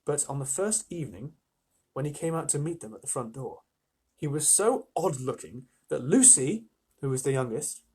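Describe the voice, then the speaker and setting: A man reads a children's story aloud in an expressive, informal way. His voice sits fairly high for a man and has a husky grain. He slips into casual asides to his listeners. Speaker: storyteller reading a children's novel on a livestream.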